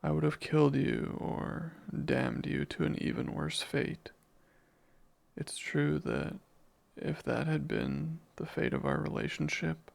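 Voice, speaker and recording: Man playing a very gravelly, devoted demon. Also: fairly high pitch, heavily acted. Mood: tender, content. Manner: slow, formal, deliberate phrasing. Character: devoted, jealous, menacing male demon bound to a master. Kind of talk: ASMR romance roleplay.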